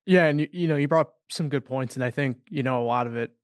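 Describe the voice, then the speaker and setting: Smooth-voiced young man talking sports. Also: mid-range voice, quick pace, no room sound, clean studio sound. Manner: rambling, lots of fillers. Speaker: podcast co-host. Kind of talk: casual sports chat.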